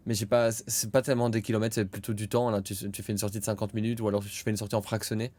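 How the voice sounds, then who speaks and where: A young man co-hosting a podcast in casual chat, hesitant with many fillers and bursts of loud laughter. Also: fairly low pitch, level tone, rapid-fire. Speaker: podcast co-host.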